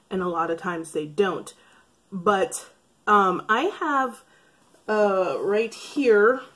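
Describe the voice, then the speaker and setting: Woman, thirties, slightly raspy, chatty and wry, rambling asides, vlog to camera. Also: medium pitch, a bit bright. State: raspy from breathing trouble. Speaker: beauty vlogger. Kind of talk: casual talk to camera. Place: bright room by a curtained window. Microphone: camera framed head and shoulders.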